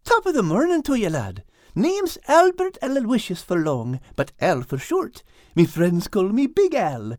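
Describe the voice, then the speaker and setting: A man's voice: high voice, huge pitch swings, a little slow, darkish tone, no room sound, clean studio sound, pitched above the performer's own voice, full character voice. A man voicing a big, cheeky male cat with an Irish accent for a storybook, chirpy and chiding. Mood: jaunty. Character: big fluffy male orange cat, jaunty, cheeky, folksy.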